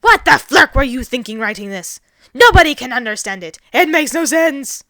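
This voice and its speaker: A woman voicing a loud, arrogant male alien invader in a story, indignant and excitable, given to bombastic shouting. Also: high-pitched, normal speed, no room sound, clean studio sound, pitched above the performer's own voice, heavily acted. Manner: shouting, bombastic, refers to himself in third person.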